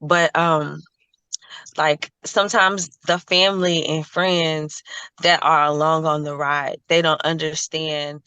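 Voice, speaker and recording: Hoarse co-host chatting, bright crisp voice, dry close sound. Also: mid-range voice, flat delivery, slow, dry recording. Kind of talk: casual interview talk.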